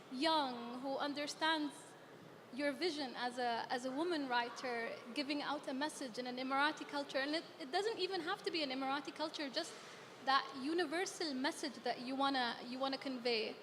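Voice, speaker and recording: A woman's voice: sits high, moderate pace. A woman who writes romance, speaking in a hesitant, reflective way on a book fair panel. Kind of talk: panel discussion. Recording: clear background hum at a book fair.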